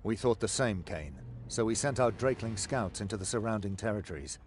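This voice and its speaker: An actor playing an earnest, loyal soldier in a fantasy video game. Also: male voice, fairly low pitch, expressive, a little fast, natural voice. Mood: urgent briefing. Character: earnest, loyal male soldier reporting on war.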